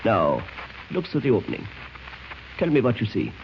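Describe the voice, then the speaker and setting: An actor playing a suave, menacing foreign spy ring leader in an old radio drama, with courtly, deliberate, formal phrasing. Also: male voice, on the low side, huge pitch swings, gravelly, full character voice. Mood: cool, calculating. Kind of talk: 1940s radio drama acting. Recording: dull, noisy old radio recording.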